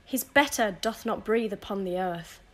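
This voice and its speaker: A woman's voice: mid-range voice, moderate pace, fairly bright tone, close to an everyday voice. A young woman playing a bitter, vengeful noble widow in a verse audio drama, speaking with scorn. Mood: indignant. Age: young adult. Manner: scornful, cursing. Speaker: student actor.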